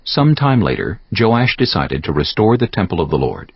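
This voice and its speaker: A man with a gravelly voice giving measured, solemn narration for a dramatized audio Bible. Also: sits low, very animated. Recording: dull audio with soft music behind. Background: soft background music.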